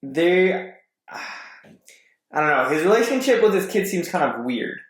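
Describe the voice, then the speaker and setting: Higher-voiced man in an echoey room. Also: big pitch swings, measured pace. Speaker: podcast guest. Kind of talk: reading forum posts aloud and casual discussion. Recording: roomy echo.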